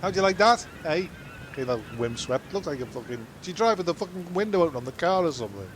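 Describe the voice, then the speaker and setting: A man's voice: a little high, big pitch swings, quick pace, slight background noise. A man voicing an irritable castaway who snaps and swears. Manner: snappy, swearing.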